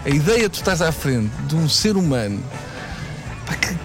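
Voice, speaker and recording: A man, opening up about something heartfelt. Music plays clearly beneath his words. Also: a little high, big pitch swings, moderate pace. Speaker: interviewee. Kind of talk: heartfelt interview talk. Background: background music.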